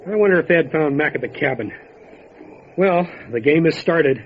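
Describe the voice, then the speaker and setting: A steady, determined county sheriff of radio drama, acted by a man, speaking plainly in a slightly high male voice. The recording is dull, with faint noise behind. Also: normal speed, natural voice. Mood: focused. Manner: matter-of-fact, resolute. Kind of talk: old time radio drama acting. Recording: dull lo-fi audio with light noise.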